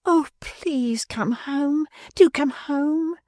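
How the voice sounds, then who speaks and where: A man's voice: very high voice, extremely slow, a little mellow, no echo, clean studio sound, full character voice. A man voicing a timid, tearful little wife in an audiobook, pleading between sobs. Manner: pleading and sobbing. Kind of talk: audiobook character voice.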